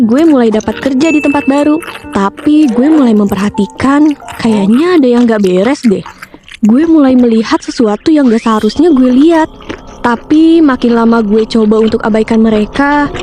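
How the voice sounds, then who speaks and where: Young lady w a kinda high voice telling a ghost story, chatty n expressive, music clearly behind her. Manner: chatty, expressive, addresses listeners directly. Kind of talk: scripted first-person horror story narration, casual slang. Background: background music.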